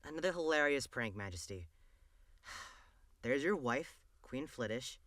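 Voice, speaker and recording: Sardonic castle steward male actor, audio drama. Forceful, scolding, long-suffering, recorded dry. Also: medium pitch, expressive, unhurried, no echo. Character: fussy, exasperated long-serving male royal steward.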